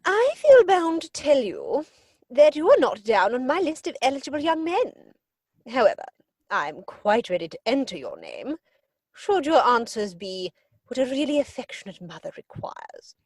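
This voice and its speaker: A woman's voice: high voice, huge pitch swings, normal speed, darkish tone, no echo, full character voice. A woman playing a haughty, disapproving middle-aged aristocratic mother in a theatrical period comedy, pompous and commanding. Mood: haughty, appraising.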